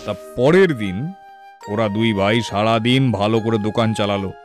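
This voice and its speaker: A man's voice: on the low side, normal speed. A man narrating a dramatised cartoon story as its storyteller. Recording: faint music behind the voice.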